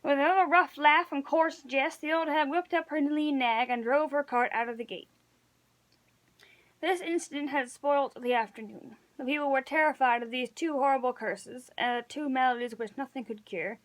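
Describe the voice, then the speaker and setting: A woman's voice: sits high, normal speed, low-quality recording. A woman reading a story aloud as an amateur narrator, sometimes stumbling over words and correcting herself. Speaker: amateur reader of an audiobook read-along.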